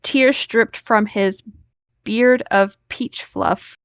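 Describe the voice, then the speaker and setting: Slow-paced woman reading a story. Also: fairly high pitch, fairly even intonation, clean tone, dry recording, dull audio. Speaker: host reading an online story aloud. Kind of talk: story reading with casual asides.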